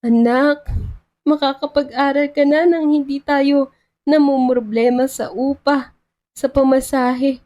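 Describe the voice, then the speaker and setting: A woman's voice: high voice, steady tone, takes it slowly, dark tone, voice pushed up. A woman voicing a relieved, emotional mother near tears in an audio love story. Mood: tearful relief. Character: tearful, relieved mother of a student.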